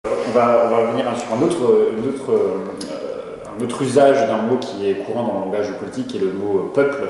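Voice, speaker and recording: A man's voice: lowish voice, moderate pace, a little mellow. A man interviewing a guest, asking long formal questions with a few fillers. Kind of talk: reading prepared interview questions. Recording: distant, echoey room with faint noise.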